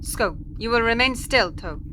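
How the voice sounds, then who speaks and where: A woman's voice: lively intonation, normal speed, lightly acted. A woman playing a stern, suspicious alien security officer with a clipped, odd way of speaking, in a sci-fi audio drama. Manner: clipped, starts and ends statements with odd verbal tic. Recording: noisy audio with ambient rumble.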